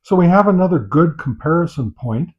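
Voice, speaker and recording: A man gives unscripted product test commentary as a review host, pausing often with filler sounds and repeating set phrases. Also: fairly high pitch, expressive. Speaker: host of a radio gear review channel. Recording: dull, muffled lo-fi sound.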